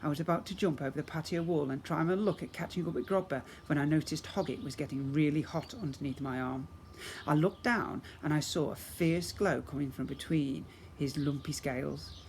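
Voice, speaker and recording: A man giving an expressive storybook narration, as a teacher reads to young children. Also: on the high side, fairly quick. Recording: clear background noise.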